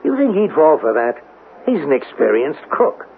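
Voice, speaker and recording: An exasperated police lieutenant in a vintage radio play. His gravelly, animated voice scolds with emphatic, weary impatience. The recording is narrow and phone-like, with faint hiss. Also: a little high, normal speed. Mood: scolding. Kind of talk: 1970s radio drama acting.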